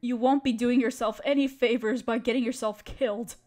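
Casting: a woman to play a spider queen for audio roleplay. High-pitched female voice, gentle and motherly, anxious, pleading, carefully spoken. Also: steady tone, brisk, lightly acted. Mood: apologetic, pleading. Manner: tender, pleading, careful phrasing. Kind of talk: scripted audio roleplay addressed to listener.

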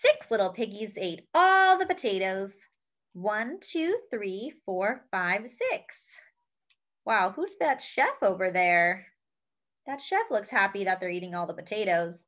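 Young woman, children's storytime reader, sing-song and bright, slightly muffled home webcam sound. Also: mid-range voice, big pitch swings. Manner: cheerful, slow and sing-song, counting aloud. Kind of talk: reading a picture book aloud to children. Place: small room at home, indoors.

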